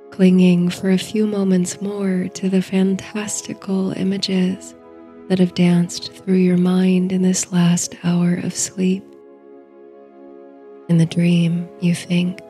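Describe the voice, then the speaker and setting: Slow, hushed woman narrating bedtime stories. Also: on the low side. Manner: soothing, hushed, unhurried delivery. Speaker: host and narrator of a sleep story series. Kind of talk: slow bedtime story narration, guided meditation.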